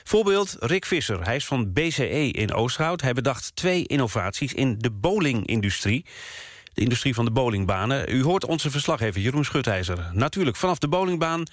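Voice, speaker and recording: Lively studio radio presenter, dry sound. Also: male voice, mid-range voice, brisk, dry recording. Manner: conversational, lively introductions.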